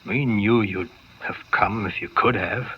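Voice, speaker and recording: A male actor playing a kindly, grave family doctor, gentle and solemn, in 1950s radio drama style. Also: fairly low pitch, measured pace, close to an everyday voice. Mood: solemn, consoling. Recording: muffled, noisy vintage radio recording.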